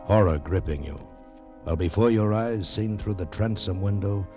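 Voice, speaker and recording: Very deep, ominous old-radio male announcer. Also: normal speed. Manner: ominous, suspenseful, theatrical. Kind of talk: dramatic 1940s radio narration. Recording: dull vintage radio recording. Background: dramatic instrumental music.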